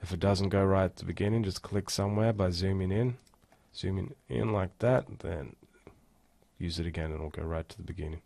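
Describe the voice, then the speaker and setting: A man giving a casual, step-by-step software walkthrough as an instructor. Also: deep voice, brisk, creaky, dry recording. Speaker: tutorial instructor. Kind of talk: screen-recorded software tutorial, casual walkthrough.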